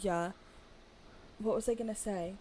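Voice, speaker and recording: Raspy-voiced woman chatting casually. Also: medium pitch, a little slow. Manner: rambling, chatty, animated storyteller. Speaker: podcast co-host. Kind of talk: casual chat.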